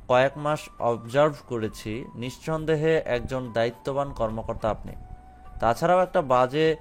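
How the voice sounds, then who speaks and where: A man's voice: mid-range voice, close to an everyday voice. A man playing a firm but considerate company director, formal and dropping in English phrases. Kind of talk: audio story drama acting.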